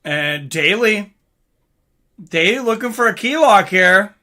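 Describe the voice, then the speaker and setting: A man's voice: high voice, animated, slow pace, bright, forceful tone. A man giving casual live fight commentary in an informal, conversational way, like a livestream host.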